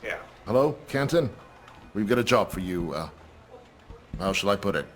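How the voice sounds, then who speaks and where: A man plays an obedient aide in a game. His voice is fairly low, businesslike and measured, with faint music underneath. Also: expressive, close to an everyday voice. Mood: deferential, guarded. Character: obedient, businesslike male subordinate. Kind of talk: video game voice acting.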